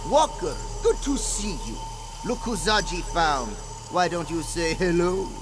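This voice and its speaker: High-pitched roguish cat-man sailor. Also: big pitch swings, a little slow, background noise. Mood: sly, friendly. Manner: theatrical, playful. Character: jaunty roguish Khajiit cat-man sailor, talking in third person. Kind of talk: video game character dialogue.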